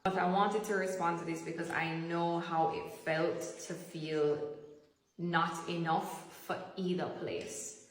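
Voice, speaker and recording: A woman's voice: on the low side, level tone, slow pace, heavy reverb, long reverb tail, rough recording. A woman actress speaking earnestly and candidly in a personal vlog.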